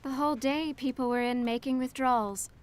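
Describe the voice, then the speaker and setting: An actress playing a wry, helpful bank teller in a noir radio drama, speaking casually. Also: female voice, high-pitched, steady tone, fairly quick, clear, resonant tone, natural voice.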